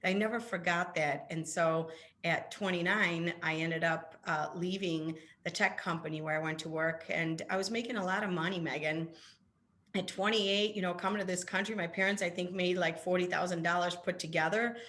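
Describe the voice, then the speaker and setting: A Polish-born woman with a slightly low voice, whose first language is Polish, speaks as an interview guest. She tells her own story earnestly, circling back and restarting phrases as she goes. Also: steady tone, moderate pace, a bit bright. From Poland. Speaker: guest, business founder. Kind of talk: interview conversation.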